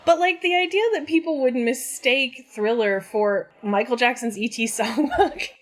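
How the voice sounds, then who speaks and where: A woman's voice: on the high side, normal speed. A woman guesting on a comedy podcast, chatting in casual comedic banter.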